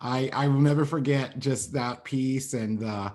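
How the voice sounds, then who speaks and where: A man's voice: medium pitch, unhurried, a little mellow. A man hosting an interview over a video call, who talks in an effusive, loose way with many fillers. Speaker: host.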